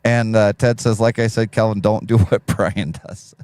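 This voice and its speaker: Deep-voiced man hosting a live stream. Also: fairly even intonation, normal speed, a little mellow, smooth, no room sound. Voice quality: deep radio voice. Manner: laid-back, reads chat messages aloud, laughs often. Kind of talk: casual live stream chat.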